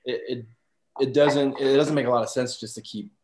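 A man's voice: medium pitch, steady tone, brisk, a little mellow, reverberant. A man in his twenties, a guest on a podcast, talking about money in an easygoing way, with loose restarting phrases. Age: 20s. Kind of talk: casual chat.

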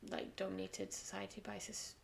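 Podcast guest, croaky gravelly voice, chatty, laughs a lot, mid pitch. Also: female voice, moderate pace, a little hiss or hum. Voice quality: froggy, gravelly voice. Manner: animated, laughs often, drops quieter on asides. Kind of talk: casual conversation.

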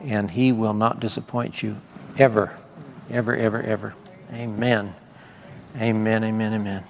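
A man's voice: fairly low pitch, little pitch movement, moderate pace, vocal fry, a little hiss or hum. A man praying fervently over a church congregation as visiting preacher, repeating his words. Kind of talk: prayer and sermon. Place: church service. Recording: reverberant church hall.

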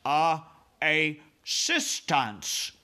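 A man's voice: fairly high pitch, sing-song, slow pace, pushed and bright, voice pushed up, full character voice. A man voicing a friendly giant from a children's storybook, effusive and reverent, with muddled words and grammar. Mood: hesitant. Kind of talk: children's storybook read aloud.

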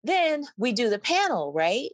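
A woman from Mississippi, an actor and guest, telling stories in an animated, wry way and laughing her way through them. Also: on the high side, unhurried, no room sound. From Mississippi, USA. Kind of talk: storytelling in an interview.

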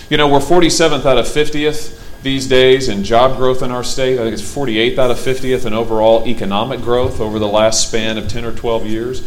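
A man speaks to a room as a candidate, driving each point home with forceful, emphatic stump-speech delivery. His voice sits at an ordinary middle pitch, and faint background noise runs under it. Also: rough recording. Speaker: political candidate. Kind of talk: campaign forum speech.

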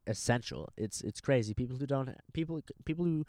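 Laid-back swearing young man, smooth voice. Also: mid-range voice, a little fast, warm tone, no echo, studio quality. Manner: laid-back, swears a lot, says dude constantly. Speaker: podcast host and stand-up comic. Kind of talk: casual podcast chat. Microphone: handheld microphone.

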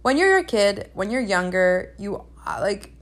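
A young woman, a native Spanish speaker, hosts a casual solo podcast in a medium-pitched voice. She talks openly and chattily, often repeating a phrase to stress it. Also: big pitch swings, measured pace. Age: young adult. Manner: chatty, candid, emphatic with repetition. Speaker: podcast host.

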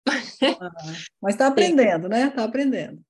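A woman hosts a podcast interview with friendly, encouraging questions. Her voice is slightly high and mellow in tone. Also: lively intonation, measured pace. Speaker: podcast host.

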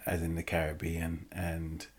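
A man born in England, co-hosting a casual discussion, talking haltingly with many fillers and restarts. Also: sits low, monotone, slight background noise. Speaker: podcast co-host.

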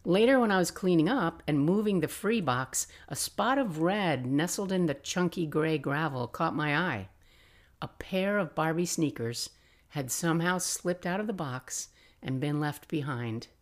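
A woman in her sixties narrating personal memories as a podcast host, reflective and expressive with wry asides. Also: low-pitched, measured pace. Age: 60s. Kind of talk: personal memoir storytelling, scripted narration.

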